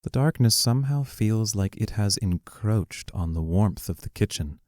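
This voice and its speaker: A man with a low voice narrates the stage directions of an audio drama. He is measured and descriptive, and the recording is close and dry. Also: animated, a little mellow, dry recording. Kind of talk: scripted narration of play scene directions.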